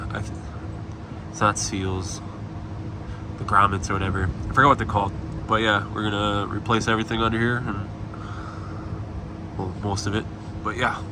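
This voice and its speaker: Low-voiced man tinkering, noisy background. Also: level tone, measured pace, long pauses. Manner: offhand, hesitant, thinking aloud while working. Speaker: amateur car tinkerer filming a build vlog. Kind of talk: casual unscripted DIY vlog chat. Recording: clear background car and ventilation noise.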